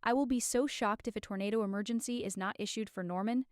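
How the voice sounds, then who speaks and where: A woman's voice: medium pitch, fast, no echo, clean studio sound, close to an everyday voice. A woman as an urgent TV storm forecaster warning viewers in live weather coverage. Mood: urgent, alarmed.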